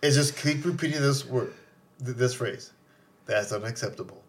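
A man's voice: mid-range voice, moderate pace. A man in casual chat eagerly giving advice and swearing casually. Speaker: podcast co-host.

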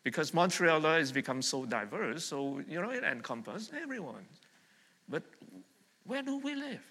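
Bright-toned male preacher in a reverberant hall. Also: a little high, very animated, moderate pace, husky. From Vietnam. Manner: conversational, searching questions to the congregation. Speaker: pastor. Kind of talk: sermon with congregation call-and-response. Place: church hall. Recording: long reverb of a church hall.

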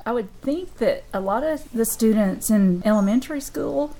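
A woman's voice: a little high, lively intonation, normal speed, dark tone, poor audio. A woman hosting a conversational interview, asking questions in an encouraging, affirming way. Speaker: interviewer, host. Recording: clear background ambient noise.

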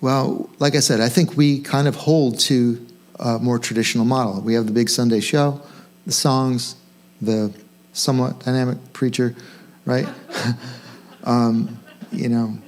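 A male pastor gives an informal, conversational sermon in a mid-pitched voice. He poses questions to himself and his listeners, then leans on key words for emphasis. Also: fairly even intonation, unhurried, pauses often, darkish tone. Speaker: senior pastor. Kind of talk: informal sermon to own congregation.

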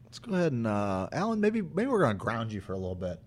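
A man with a mid-range voice co-hosts a casual show. He sounds enthusiastic and informal, feeling his way haltingly through his phrases. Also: mid-range voice, huge pitch swings, a little hiss or hum. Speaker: podcast co-host. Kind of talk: casual banter.

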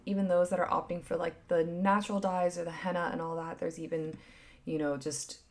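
Candid low-voiced woman in her thirties. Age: 30s. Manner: animated, emphatic bursts with hushed asides; frequent filler "like", candid and swearing. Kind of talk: casual opinion chat.

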